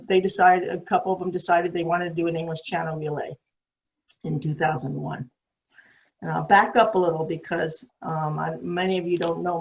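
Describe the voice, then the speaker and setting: A woman's voice: lowish voice, darkish tone, highs cut off. Rambling older New York woman. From New York City. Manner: rambling, many ums and restarts. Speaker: guest swimmer. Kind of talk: casual storytelling on a call. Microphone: video call.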